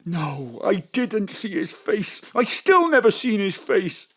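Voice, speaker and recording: A woman acting in an audio drama as rattled, excitable local men, theatrical and protesting. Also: medium pitch, slow. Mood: defensive. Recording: remote home-studio recording.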